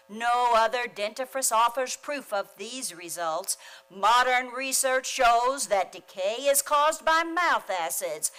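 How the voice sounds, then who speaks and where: A woman's voice: fairly high pitch, fairly bright tone, poor audio. A woman announcer reading a commercial in an old-time radio style.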